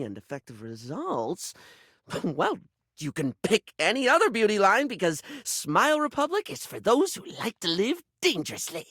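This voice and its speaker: A man's voice: high-pitched, fairly bright tone, no echo, clean studio sound, full character voice. A male actor playing a flamboyant, fussy beauty-product character in a video game, theatrical and effusive, anxious to please. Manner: theatrical, effusive, fretful, peppered with endearments.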